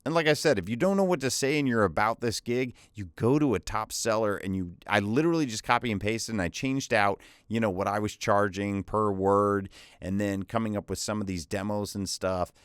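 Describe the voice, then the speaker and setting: A man with a deep bass voice, pitched on the low side, shares freelancing advice in a casual, loose manner with the odd filler and restart. The recording is dry and close, with no room sound. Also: a little fast, hardly any pauses, smooth, no room sound. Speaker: voiceover artist and freelancer teaching viewers. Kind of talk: tutorial with screen walkthrough.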